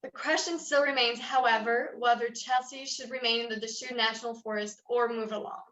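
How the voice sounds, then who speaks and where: A woman acting a firm, bright-voiced meeting chairwoman. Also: a little high, fairly even intonation, natural voice. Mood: formal, firm. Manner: measured, procedural, authoritative. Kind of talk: staged reading of a play. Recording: roomy, dull lo-fi sound.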